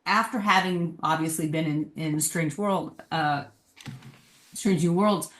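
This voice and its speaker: A woman's voice: sits low, unhurried. A woman chatting casually as a guest on a podcast panel, in roomy sound. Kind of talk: casual chat, discussion.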